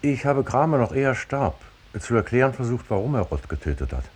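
Male doctor at a police inquiry, old radio drama: lowish, husky, widely moving intonation, reflective and sober. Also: big pitch swings, fairly quick, a little mellow. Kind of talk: 1960s radio drama dialogue.

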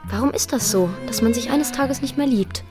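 An actress playing an anxious, searching young daughter in a German radio drama. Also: female voice, fairly high pitch, normal speed, over music, lightly acted. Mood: sad, anxious.

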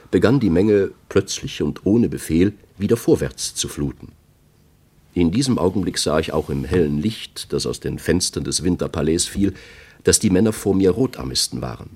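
A male eyewitness in a radio play with a very deep, husky voice. He recounts events in the first person, soberly and factually, as scripted narration. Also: a little mellow, heavily acted.

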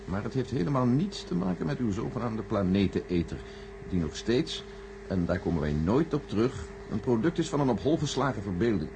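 A man playing a measured, secretive senior official in a science-fiction radio play, formal, deliberate and condescending. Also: on the low side, very animated, creaky. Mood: grave, defensive. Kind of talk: radio drama acting. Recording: noisy old radio recording with hum.

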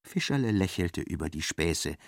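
An actor narrating a literary radio play, an expressive and ironic storyteller. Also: male voice, low-pitched, normal speed, dry recording, clean studio sound. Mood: wry narration. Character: wry literary narrator of a novel, male.

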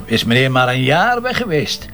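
A grumpy Flemish husband in a radio drama, a man who banters sarcastically and cheekily. His pitch is average but swings widely, with light music in the background. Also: big pitch swings, normal speed, bright, forceful tone. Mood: sarcastic. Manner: sardonic, bantering. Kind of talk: naturalistic radio drama dialogue.

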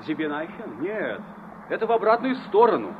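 A woman's voice: fairly low pitch, sing-song, normal speed. An actress playing a helpful local woman in an old Soviet radio play, matter-of-fact and obliging. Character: helpful local woman giving a stranger directions. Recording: noisy, dull old radio play recording.

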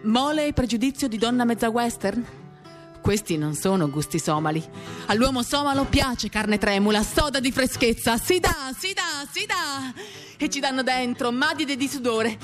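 A woman performing an expressive, theatrical first-person literary reading for radio, moving between animated and hushed delivery. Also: a little high. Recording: acoustic guitar accompaniment. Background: guitar music.

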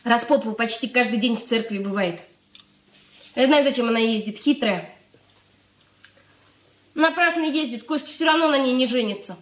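Casting: actress as eager, naive little sister, high voice, caring and artless, Soviet radio play. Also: female voice, normal speed, pauses often, a bit bright, lightly acted. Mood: gossipy, confiding. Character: affectionate, naive young girl Anya, caring sister. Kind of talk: Soviet radio drama acting.

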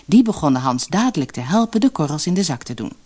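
A woman narrating a fairy tale for an audiobook in an expressive, dramatic, scripted storytelling style. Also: deep voice, quick pace.

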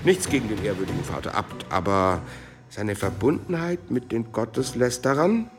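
An actor playing a sly, needling medieval monk in a radio drama, gossiping in a mocking, insinuating way. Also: male voice, medium pitch, expressive, moderate pace, music in the background, natural voice. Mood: scandalised gossip. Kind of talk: German radio drama acting.

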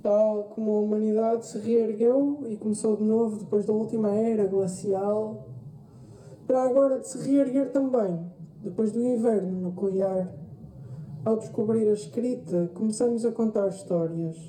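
A woman with a European Portuguese accent performs a theatrical radio-drama monologue, deliberate and reflective, with pauses and repeated phrases. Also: medium pitch, fairly even intonation, warm tone. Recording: roomy echo with faint noise.

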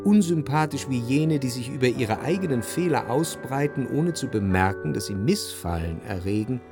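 A man's voice: mid-range voice, darkish tone, no echo. Reflective male narrator, very dry recording. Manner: measured, introspective. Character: reflective, analytical male first-person narrator, refined and melancholy. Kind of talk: literary radio play narration.

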